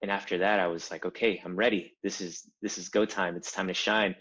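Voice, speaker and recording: Man from Cuba, thirties, very low pitch, dull lo-fi recording, emphatic storyteller. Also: brisk, breathy edge. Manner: animated storyteller, builds to emphatic points. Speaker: guest, design founder. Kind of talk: conversational interview.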